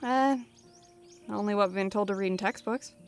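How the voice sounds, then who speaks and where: A woman's voice: mid-range voice, normal speed, faint music behind, lightly acted. A woman playing a blunt, casual human companion in an audio roleplay. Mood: dry, casual.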